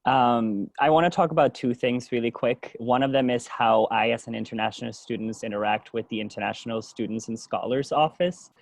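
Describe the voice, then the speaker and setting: A man's voice: medium pitch, fairly quick, creaky, hardly any pauses, no echo. A young man from Denmark, a native Danish speaker, giving an informal presentation as a student leader. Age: young adult. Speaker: student government president.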